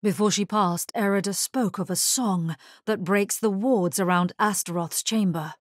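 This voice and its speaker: An actress voicing a solemn, grave necromancer in a video game, with measured, formal delivery. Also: female voice, medium pitch, no echo, clean studio sound, close to an everyday voice. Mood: serious, urgent. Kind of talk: scripted video game character voice lines.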